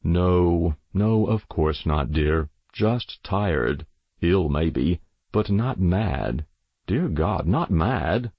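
A man voicing a firm but gentle father with a measured, soothing manner in a story reading. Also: deep voice, lively intonation, slightly rough, no room sound, dull audio, exaggerated voice. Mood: anxious reassurance.

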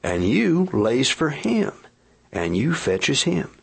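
A man doing a rough rustic frontier miner. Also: medium pitch, huge pitch swings, slow, voice pushed up, heavily acted. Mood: earnest, pleading. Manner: rustic frontier dialect, folksy and rambling. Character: rough earnest male gold miner, awkward and plain-spoken.

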